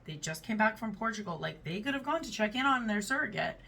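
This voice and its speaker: Woman, casual reaction host, chatty, gravelly voice, slightly off mic. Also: medium pitch, expressive, quick pace, bright, forceful tone, recorded from a distance. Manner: chatty, reads comments aloud and reacts. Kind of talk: casual reaction to read-out posts.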